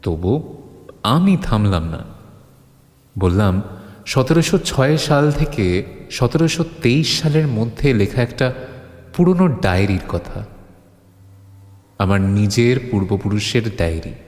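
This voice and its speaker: A man playing a grave first-person narrator of a horror audio story, solemn and halting, drawing out phrases for suspense. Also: fairly low pitch, sing-song, darkish tone. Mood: grave, ominous. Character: grave male narrator recounting old forbidden horrors to his friend. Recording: long reverb on the voice.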